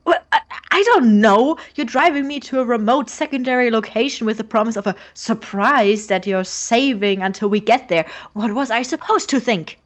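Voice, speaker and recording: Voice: medium pitch, normal speed, few pauses, close to an everyday voice. A woman playing an anxious, suspicious young roommate and lab partner in a naturalistic audio drama, earnest and sardonic. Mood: defensive, sardonic.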